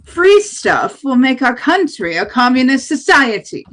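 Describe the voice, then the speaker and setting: Strident nationalist woman candidate, read by an actress, higher-pitched, declaiming campaign promises in a table read. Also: big pitch swings, a little slow, fairly bright tone, full character voice. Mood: strident, assertive. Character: hardline far-right female presidential candidate, strident nationalist. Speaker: actor in an amateur table read. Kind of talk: campaign speech read from script.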